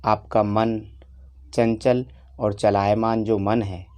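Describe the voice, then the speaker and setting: Slow, low-voiced man guiding meditation. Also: level tone, dark tone. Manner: unhurried, instructive, with long pauses between short phrases. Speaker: meditation teacher. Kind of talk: guided meditation instruction.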